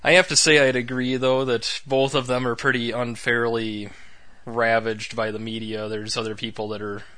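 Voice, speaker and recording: A man's voice: mid-range voice, flat delivery, moderate pace, bright, forceful tone. A man co-hosting a podcast in casual, rambling chat with wry, sarcastic asides. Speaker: podcast co-host.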